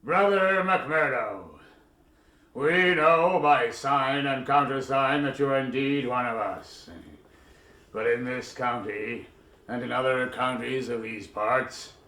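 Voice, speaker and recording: The solemn master of a secret lodge in a radio drama. He commands in a slow, ceremonial delivery with a hard, bright edge, ringing in a roomy echo over faint noise. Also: medium pitch. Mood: stern, ritual.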